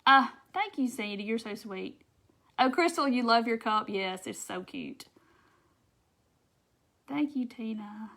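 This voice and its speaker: A woman's voice: a little high, slow pace, pauses often, fairly bright tone. A woman with a Southern US accent hosting a casual craft live stream, chatting folksily with viewers and reading their comments by name.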